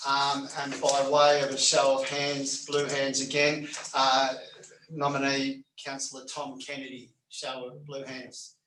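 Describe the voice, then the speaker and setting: Flat-voiced man counting votes. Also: medium pitch, a little slow. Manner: hesitant, full of ums and uhs, counting votes aloud. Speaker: general manager running a vote. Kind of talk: formal meeting procedure. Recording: echoey room, far from mic, faint noise.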